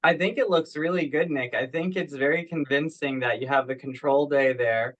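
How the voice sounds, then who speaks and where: A man's voice: on the high side, moderate pace, dull audio. A man talking casually in an online conference call, discussing work as a participant. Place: teleconference.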